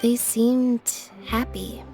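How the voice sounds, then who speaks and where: An actress playing a composed, devoted young woman in a video game, speaking calmly and deliberately. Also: a little high, creaky, faint music behind, exaggerated voice. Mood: quiet, reflective. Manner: calm, deliberate.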